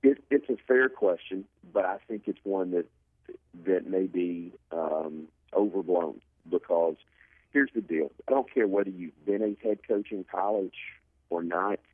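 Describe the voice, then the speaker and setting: Man, very low husky voice, sports commentator, emphatic repetition, thin phone-like sound. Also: a little slow, dry recording. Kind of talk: sports interview analysis. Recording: narrow phone-like line.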